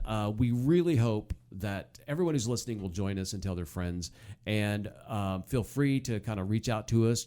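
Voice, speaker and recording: Low, mellow American man hosting casually. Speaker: podcast host. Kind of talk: casual chat about old-time radio.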